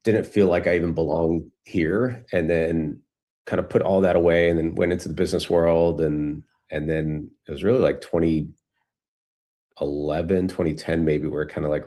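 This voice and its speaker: A man's voice: a bass voice, warm tone. A man speaking as a guest in casual conversation, with hesitant, reflective phrasing. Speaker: guest, entrepreneur.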